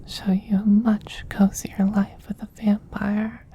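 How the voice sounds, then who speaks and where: A man voicing a tender, possessive male vampire queen in a soft-spoken ASMR roleplay, in a slow, breathy, soothing whisper with gentle dominance. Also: sits high, flat delivery, highs cut off, exaggerated voice. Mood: tender, reassuring.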